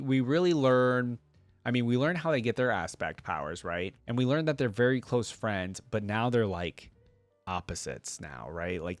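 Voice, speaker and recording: A man's voice: lowish voice, dry recording. A man hosting a reaction video, reading a story aloud and commenting in a casual, slangy way.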